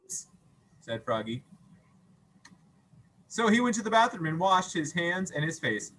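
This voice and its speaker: A man reading a children's story aloud as a teacher, playful and expressive, with acted sound effects and questions to listeners. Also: sits high, a little slow, a little hiss or hum, rough recording.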